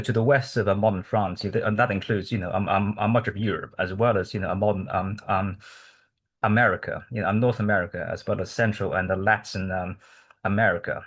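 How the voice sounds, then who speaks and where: A man from Hong Kong, a native Cantonese speaker, giving an academic presentation to a research group, halting with many ums and restarts. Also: on the low side, slightly rough.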